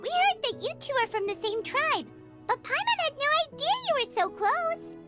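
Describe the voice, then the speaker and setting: A woman voicing a tiny, chirpy fairy-girl companion in a game, excitable and chatty, with loud music behind her. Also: moderate pace. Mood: curious. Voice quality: high, squeaky. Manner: excitable and chatty, refers to herself by name.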